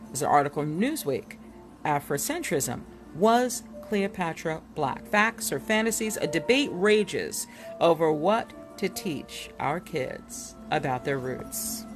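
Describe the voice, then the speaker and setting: A woman giving an expressive, deliberate reading of an illustrated book as a narrator, with dramatic delivery. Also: sits low. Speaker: reader presenting a book chapter. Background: music.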